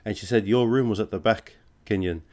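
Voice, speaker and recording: A man hosting a casual family quiz podcast, asking questions in an unhurried way with fillers. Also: lowish voice, a little mellow.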